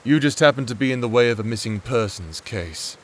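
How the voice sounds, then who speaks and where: A man playing a sardonic, world-weary private investigator in a fantasy noir audio drama, with a dry, formal, deadpan delivery. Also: medium pitch, fairly quick, slight background noise, close to an everyday voice. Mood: dry, resigned. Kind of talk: noir audio drama acting with scripted monologue. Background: background music.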